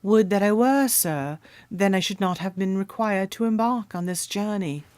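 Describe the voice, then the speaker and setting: A woman's voice: medium pitch, moderate pace, a little mellow, dry recording, clean studio sound, natural voice. A woman playing a solemn, courtly noblewoman of about thirty in a radio drama, speaking in formal, archaic phrasing. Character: solemn, courtly 31-year-old noblewoman guarding a royal sword.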